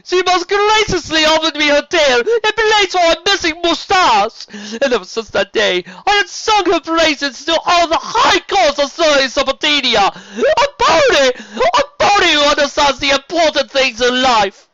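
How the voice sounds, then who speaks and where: Lady doing a super dramatic sea serpent duke guy, high and swooping wildly, gushy storybook voice, bit muffled recording. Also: sing-song, pushed and bright, no room sound, exaggerated voice. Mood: awed, effusive. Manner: theatrical wailing, drawn-out exclamations. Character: flamboyant, melodramatic male sea serpent duke, vain and gushing. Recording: slightly dull, lo-fi recording.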